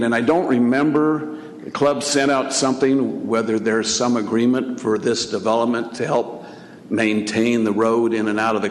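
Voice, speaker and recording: A man giving a hesitant, measured public comment at a podium microphone in a council chamber. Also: fairly low pitch, a little hiss or hum. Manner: hesitant, measured, plain-spoken. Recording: echoey council chamber with long reverb.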